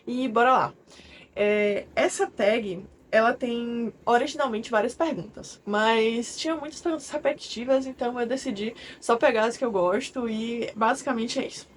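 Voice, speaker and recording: A woman's voice: on the high side, normal speed, a little hiss or hum. A woman in her twenties with a Brazilian accent, chatting casually and a little self-consciously to camera as a book vlogger. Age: 20s.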